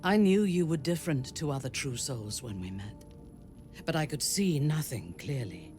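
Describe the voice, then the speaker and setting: An actor playing a proud, stern woman warrior commander in a video game, formal and measured, coldly set on vengeance. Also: mid-range voice, expressive, a little mellow, natural voice. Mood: vengeful resolve. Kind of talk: video game dialogue acting. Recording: music under the voice.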